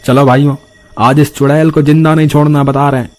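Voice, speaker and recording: A man's voice: a little high, flat delivery, a little fast, a little mellow, faint music behind, natural voice. A man voicing a bold, angry villager in a melodramatic cartoon, declaiming with emphasis. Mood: angry, defiant.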